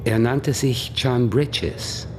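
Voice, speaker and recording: A man's voice: medium pitch, very slow, noisy background. An actor playing a coy, evasive male witness under police questioning in a German radio crime drama, by turns dreamy and defensive. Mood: guarded.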